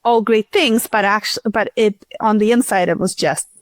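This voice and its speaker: A woman's voice: medium pitch, normal speed, no room sound. Woman chatting casually, close dry sound. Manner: frequent "like", restarts mid-sentence, chatty. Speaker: startup CEO, interview guest. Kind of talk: casual interview answers, anecdotal.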